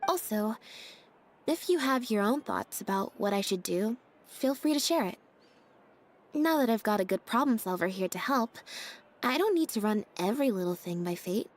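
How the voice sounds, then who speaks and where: An actress voicing a calm, deadpan young woman, a stage magician's assistant in a video game, speaking in a flat, understated, dryly matter-of-fact way. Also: mid-range voice, normal speed, slight background noise, natural voice.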